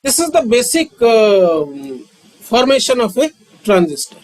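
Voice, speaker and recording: A man, lecturing on physics and repeating key terms with frequent pauses. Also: very high-pitched, very animated, darkish tone. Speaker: physics teacher. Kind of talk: slow, repetitive classroom lecture.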